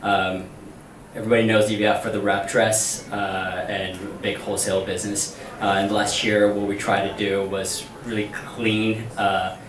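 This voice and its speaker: A man's voice: on the low side, flat delivery, normal speed. A man from Brazil with a Brazilian Portuguese accent, speaking casually with fillers as a panelist. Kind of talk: panel discussion. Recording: echoey room, distant mic, faint noise.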